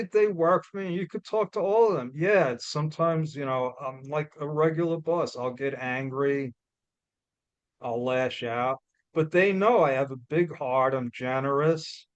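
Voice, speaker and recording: A man with a New York accent giving a loud, blunt, emphatic motivational talk as a guest speaker. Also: fairly high pitch, dry recording, highs cut off.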